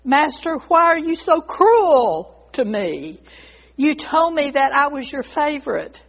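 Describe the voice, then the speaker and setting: A woman's voice: mid-range voice, lively intonation, normal speed, breathy edge, long reverb tail. A woman voicing a proud, devoted bamboo tree in a storybook tale, speaking in an earnest, dramatic way. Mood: anguished pleading. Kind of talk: storybook character voice. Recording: dull lo-fi recording.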